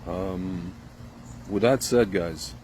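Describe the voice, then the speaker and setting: A low-voiced man from Ukraine talks straight to his viewers, circling his point with fillers and restarts. His voice sits deep, and a faint noise hums behind him. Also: very slow, a little mellow. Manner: rambling, addresses viewers directly, many fillers and restarts. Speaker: vlogger. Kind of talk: casual opinion monologue to camera.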